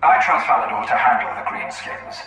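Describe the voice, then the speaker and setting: Forceful, echoing elven warlord. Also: male voice, medium pitch, lively intonation, a little fast. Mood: imperious. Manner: imperious, intense, theatrical. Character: proud, vengeful male Eldar commander. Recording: heavy echo, distant, music behind.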